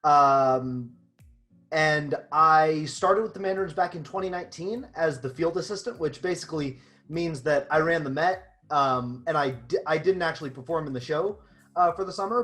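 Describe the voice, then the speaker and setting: A nineteen-year-old man from Southern California, a drum major, talking on a video call in a relaxed way and laughing easily. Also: a little high, normal speed, faint music behind.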